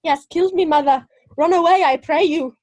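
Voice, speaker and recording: A woman's voice: sits high, moderate pace, darkish tone, highs cut off, natural voice. A woman playing a plucky young boy actor in an audio play, with theatrical delivery. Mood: defiant. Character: plucky young boy player acting a child. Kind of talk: stage-style audio drama acting. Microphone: video call recording.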